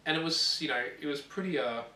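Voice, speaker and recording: A man chatting casually as co-host of a gaming show, often halting and repeating himself as he thinks. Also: fairly high pitch, sharp tone. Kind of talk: casual chat about films and games. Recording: roomy, distant mic with faint noise.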